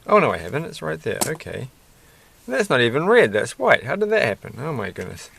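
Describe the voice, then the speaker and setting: A man rambling softly to himself while building a toy kit at his desk at night, self-deprecating and a bit tired. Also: mid-range voice, wildly swooping pitch, a little fast, vocal fry, slightly rough. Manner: soft-spoken, muttering to himself, self-deprecating. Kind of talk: casual rambling build commentary.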